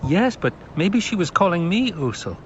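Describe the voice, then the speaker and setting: A man's voice: sits high, very animated, normal speed, lo-fi sound, slight background noise, voice pushed up. A man voicing an earnest, guarded teenage boy in an audiobook, lighter and dreamy in manner.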